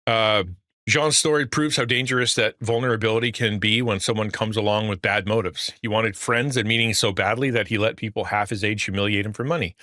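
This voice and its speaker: A man's voice: fairly low pitch, fairly even intonation, brisk, sharp tone, no room sound, studio quality. A middle-aged man, a pastor, talking earnestly and rambling on a livestream with a fatherly voice. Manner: earnest, rambling with restarts, reads chat aloud. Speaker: pastor and streamer. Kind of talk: casual livestream talk.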